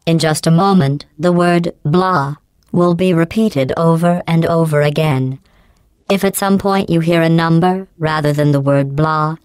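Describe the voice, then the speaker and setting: A woman playing a coldly menacing, sarcastic female artificial intelligence in a video game, deadpan and falsely polite, with robotic processing on the voice. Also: deep voice, smooth, no room sound. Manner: deadpan, passive-aggressive, falsely polite, measured enunciation. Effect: robotic synthesized voice processing. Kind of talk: scripted video game voice acting.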